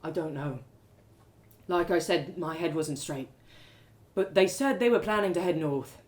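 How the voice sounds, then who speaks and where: A woman's voice: sits low, pitched below the performer's own voice. Woman as an earnest, guilt-torn young man. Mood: sorry, earnest. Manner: halting, repeating words as he pleads.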